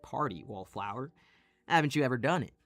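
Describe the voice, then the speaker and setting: A man's voice: medium pitch, expressive, brisk, fairly bright tone, faint music behind. Man acting a smug, teasing young playboy. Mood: smug, commanding. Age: young adult. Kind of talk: audio roleplay acting.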